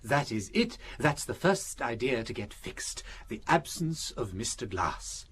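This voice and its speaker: Animated, gently amused British priest detective. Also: male voice, mid-range voice, measured pace, a little hiss or hum. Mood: quietly triumphant. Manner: chuckling, patiently explaining, teasing. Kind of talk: British radio drama acting.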